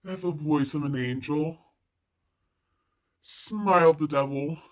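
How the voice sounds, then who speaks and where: A woman putting on a deep-voiced cocky teen boy. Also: a little slow. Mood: cocky teasing. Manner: smug, teasing swagger.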